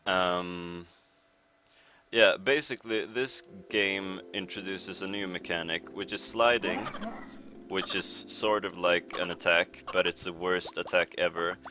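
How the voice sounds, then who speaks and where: A young Swedish man with a Swedish accent commentating his speedrun in a relaxed, rambling way, with many pauses and fillers. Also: sits low. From Sweden. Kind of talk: casual live commentary over gameplay. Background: game music.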